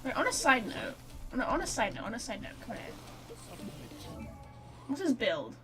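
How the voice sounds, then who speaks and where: A woman game streamer giving casual, irreverent live commentary in an expressive, sweary way. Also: on the high side, normal speed, music in the background.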